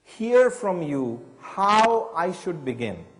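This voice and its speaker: A woman's voice: on the low side, big pitch swings, slow, in a large hall, pitched above the performer's own voice, low-quality recording. A man quoting an earnest young woman who pleads insistently for guidance.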